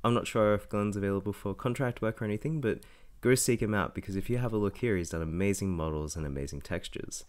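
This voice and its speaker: A young man, a little raspy from being sick, walking through a software tutorial in a casual, self-correcting way. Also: lowish voice, quick pace. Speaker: tutorial host. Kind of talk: screen-recorded software tutorial.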